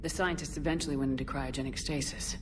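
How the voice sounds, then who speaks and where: Game lead: very low voice, direct, composed space officer, noisy ambience behind. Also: female voice, fairly even intonation. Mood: probing. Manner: measured, direct questioning. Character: composed, inquisitive female military commander in space. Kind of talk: video game character dialogue. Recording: clear background noise, ship ambience.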